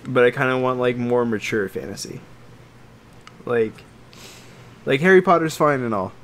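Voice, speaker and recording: A man co-hosting a podcast in casual chat, talking like an excitable fan who swears freely. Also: medium pitch, moderate pace. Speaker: podcast co-host.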